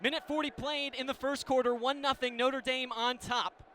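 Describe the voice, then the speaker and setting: An American man doing live radio play-by-play of a game in clipped descriptive phrases, ready to burst into excited calls. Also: very high voice, moderate pace. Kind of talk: live sports play-by-play commentary. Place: indoor stadium broadcast position. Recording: live radio with steady venue noise.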